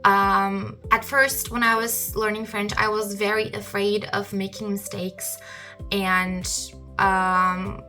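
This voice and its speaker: Slow bright-voiced young woman. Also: mid-range voice. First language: Turkish. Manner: thoughtful, frequent "um" pauses. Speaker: podcast host. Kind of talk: solo podcast monologue.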